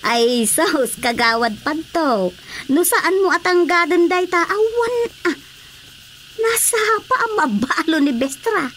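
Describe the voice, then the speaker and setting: A woman's voice: very high-pitched, expressive, slow pace, over music, full character voice. A woman playing an outspoken, nagging but concerned village woman in radio drama comedy, with a northern Philippine accent. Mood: exasperated. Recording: faint cricket-like background noise.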